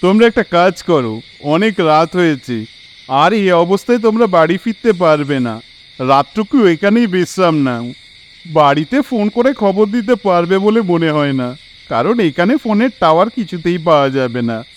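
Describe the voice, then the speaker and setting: A man's voice: high voice, very animated, normal speed. A man playing a mysterious, commanding and reassuring mature forest healer in a cartoon horror story. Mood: kindly, advising.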